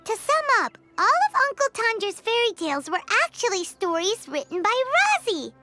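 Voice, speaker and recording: Super high bouncy little fairy sidekick, game music behind. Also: female voice, big pitch swings, normal speed. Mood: surprised. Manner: high, bouncy, refers to herself in third person. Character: small floating fairy companion, chirpy and excitable. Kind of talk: game voice acting. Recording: game audio with clear background music.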